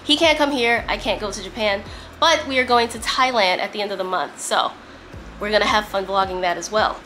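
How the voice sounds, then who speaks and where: A woman's voice: a little high, bright, forceful tone. A woman vlogger chatting casually and emphatically to camera, with a slightly strained, congested voice from a lingering cough. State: congested, getting over a cough. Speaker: vlogger, host. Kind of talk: casual sit-down chat to camera, some reading aloud.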